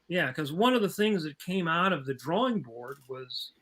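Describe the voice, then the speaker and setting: A man's voice: a little high, expressive, normal speed, a crowd in the background. A man co-hosting a podcast, prompting the guest with details in casual chat. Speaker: podcast co-host.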